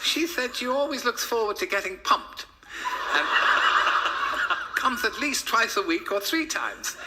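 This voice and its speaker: A man's voice: very high voice, expressive, measured pace, heavy background noise, pitched above the performer's own voice. A man voicing a childish, innocent grown man in a comic storybook reading, earnest and simple. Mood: naive, eager. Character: John, childish innocent man, eager and naive.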